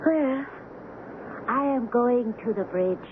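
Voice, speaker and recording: An actress playing a proud, loyal, spirited captain's woman in a radio drama, with deliberate, formal phrasing. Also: fairly high pitch, expressive. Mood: affectionate, serene. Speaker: actor. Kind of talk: 1970s radio drama acting. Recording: noisy, tinny old radio broadcast.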